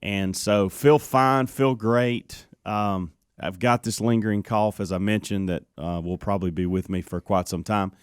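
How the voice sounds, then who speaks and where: A man's voice: lowish voice, steady tone, measured pace, hardly any pauses, smooth, no room sound, clean studio sound. A man on a radio talk show candidly recounting his illness, with a lingering cough. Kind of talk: casual chat.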